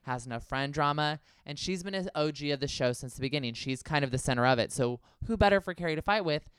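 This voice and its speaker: A man's voice: fairly high pitch, fast, a bit bright, smooth, no echo, clean studio sound. A man co-hosting a podcast, giving gossipy, analytical takes in casual chat. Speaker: podcast co-host. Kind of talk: casual chat about reality TV.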